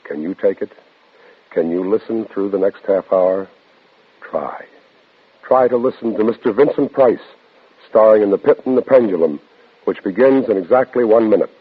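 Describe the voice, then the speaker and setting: A man with a low, husky voice introduces an old radio thriller. His delivery is deliberate, dramatic and ominous, heard through a thin, band-limited recording. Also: narrow, tinny audio. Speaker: program host introducing the story. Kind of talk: scripted 1950s radio introduction.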